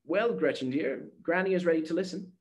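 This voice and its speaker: A man reading a storybook aloud, voicing a tired, gentle old grandmother who speaks softly and sadly. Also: a little high, pitched above the performer's own voice, close to an everyday voice. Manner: soft, slow, sighing and sad.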